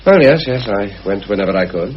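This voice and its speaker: Frank, devoted, slightly defensive man played by an actor, lower voice, muffled vintage radio drama. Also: animated, fast, natural voice. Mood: earnest. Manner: frank, earnest, emphatic. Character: earnest, defensive bachelor devoted to a widow. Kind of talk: vintage radio play acting. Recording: dull, hissy old radio drama recording.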